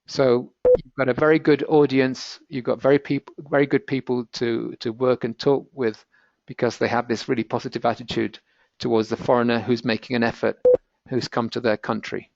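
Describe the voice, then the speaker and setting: A man's voice: mid-range voice, level tone, moderate pace, gravelly, a little mellow, no room sound, lo-fi sound. A British man with a British accent, presenting an encouraging, patiently explained language lesson in a webinar. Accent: British English. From Britain.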